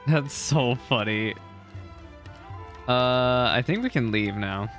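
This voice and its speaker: A man's voice: medium pitch, takes it slowly, a bit bright, soft background music. A man giving casual reaction commentary over a video game, chatting and reacting as the dialogue plays. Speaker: game streamer.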